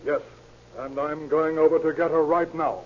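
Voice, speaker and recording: A muffled, faintly noisy old radio recording of an actor as a police chief. His moderately high male voice is brisk and commanding, wily and authoritative in vintage drama style. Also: fairly even intonation, lightly acted. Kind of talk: 1940s-50s American radio drama acting.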